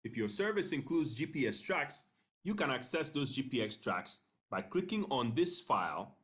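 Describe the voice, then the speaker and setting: A man narrating an instructional screencast in a measured, step-by-step way, pausing briefly between phrases. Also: mid-range voice, sing-song, a bit bright. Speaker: software tutorial narrator.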